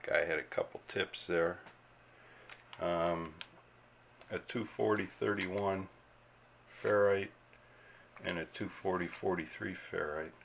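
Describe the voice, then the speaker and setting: A man's voice: deep voice, fairly even intonation, slow, gravelly, long pauses, a bit bright, slight background noise. A man narrating a casual hands-on demonstration as a hobbyist, unscripted and laid-back. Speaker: radio hobbyist host. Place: indoor home radio workbench.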